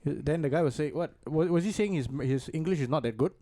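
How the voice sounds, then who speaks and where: A man's voice: on the high side, a little fast, mellow, dry recording, studio quality. A man co-hosting a podcast, joking in casual chat and stumbling over words. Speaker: podcast co-host.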